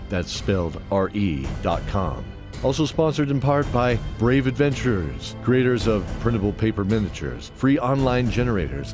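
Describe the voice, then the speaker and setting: Low-voiced male announcer over music. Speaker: narrator and show announcer. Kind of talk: scripted announcement, sponsor read. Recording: music bed under voice.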